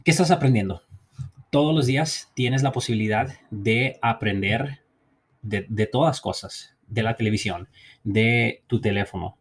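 A man's voice: on the low side, measured pace, slightly rough. A man hosting a podcast, giving an earnest, encouraging motivational talk in a language that is not his first, often restarting and restating his points. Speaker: podcast host.

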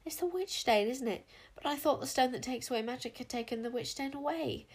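A woman's voice: high voice, brisk, breathy edge, pitched above the performer's own voice. A woman voicing a young girl heroine in a storybook, speaking tentatively and in alarm. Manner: tentative, horrified.

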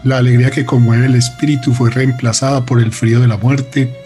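A man's voice: mid-range voice, flat delivery, brisk, over music. A man narrating a radio drama in a dramatic, scripted style.

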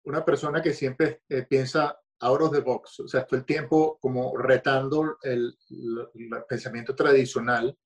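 Man, Venezuelan accent, interview guest, relaxed, hesitant, mid-range voice. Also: medium pitch, moderate pace, few pauses. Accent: Venezuelan Spanish. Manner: relaxed, hesitant, with many fillers and restarts. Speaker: guest author. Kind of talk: podcast interview. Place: home.

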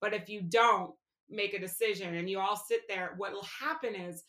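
Frank woman director, bright tone, chatty fillers, echoey room sound. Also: mid-range voice, moderate pace. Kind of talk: candid interview talk. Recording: roomy echo.

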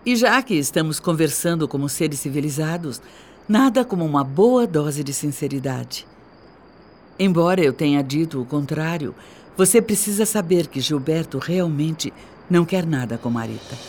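A man with a Brazilian accent playing a calculating wife and mother in a radio drama, speaking in a measured, persuasive, formal way. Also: a little high, animated, soft background music. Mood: smooth, calculating. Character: calculating wife and mother, scheming while sounding civil.

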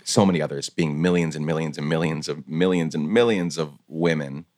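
A man's voice: a bass voice, brisk, darkish tone. A man hosting an interview, speaking reflectively and with empathy, repeating words for emphasis. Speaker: podcast host. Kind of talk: conversational interview.

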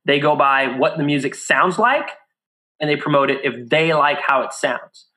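A middle-aged man from Brazil, a guest on a casual podcast, speaking in an earnest, deliberate way about his music blog. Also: on the high side, pushed and bright, poor audio. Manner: earnest, deliberate, acts out quotes in a raised voice.

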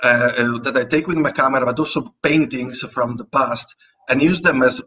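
A man's voice: mid-range voice, normal speed, bright, forceful tone. A man with an Italian accent, a native Italian speaker, talking as a guest artist in a casual interview, hesitant and full of fillers and restarts. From Italy.

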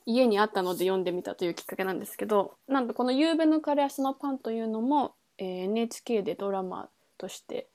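Higher-voiced woman chatting as podcast host. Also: lively intonation, fast talker, hardly any pauses, darkish tone. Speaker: singer. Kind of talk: casual book chat.

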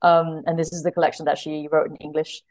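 A woman with a low voice and plenty of vocal fry talks as a podcast guest. She is conversational and thoughtful, feeling her way through each point and rephrasing as she goes. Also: little pitch movement, fast talker, darkish tone. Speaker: podcast guest, literary translator. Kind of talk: casual interview chat about books.

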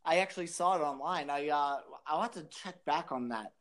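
A man with a slightly high voice co-hosts a relaxed podcast. He talks informally and eagerly, in the manner of a fan among friends. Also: fairly quick, crowd noise. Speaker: podcast co-host. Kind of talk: casual podcast chat.

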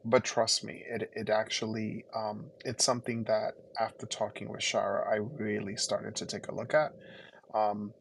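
A man from the Dominican Republic, Spanish his first language, speaks in a lowish, gravelly voice. He talks reflectively with many fillers and restarts as an interview guest. Faint noise hums behind him. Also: little pitch movement, moderate pace, rough recording. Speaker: podcast guest. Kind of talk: conversational interview storytelling.

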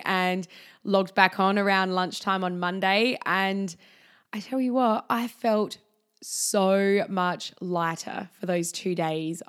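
A woman in her thirties talks through her own podcast in a soft, earnest manner. Her lowish voice carries a slight huskiness from being under the weather. The delivery is reflective and unhurried. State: slightly husky from being unwell. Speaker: podcast host. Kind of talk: reflective solo podcast monologue.

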